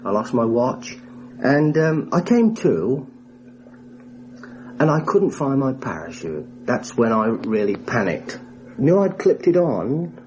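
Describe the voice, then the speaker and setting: A man, a former airman, recalling his wartime experience in a measured, matter-of-fact way for a radio documentary interview. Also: mid-range voice, dark tone. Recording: muffled 1960s radio recording, hissy.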